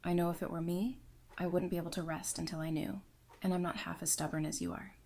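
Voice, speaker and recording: An actress playing a supportive, caring, plain-spoken friend in an audio drama. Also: female voice, fairly low pitch, flat delivery, quick pace, darkish tone. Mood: reassuring.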